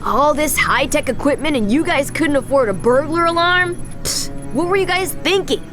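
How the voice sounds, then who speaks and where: Game kid hero: sarcastic ten-year-old boy, high pitched, music behind. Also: animated, normal speed. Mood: wisecracking. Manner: brash, sarcastic. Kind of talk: video game cartoon acting.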